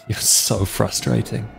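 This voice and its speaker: A man plays a drifting vampire in a tabletop game, tired and defiant. His voice is fairly low and blunt, coming out in halting, exasperated phrases over faint music. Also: fairly even intonation, gravelly, full character voice, poor audio. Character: weary, defiant male vampire wanderer. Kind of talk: improvised tabletop roleplay acting.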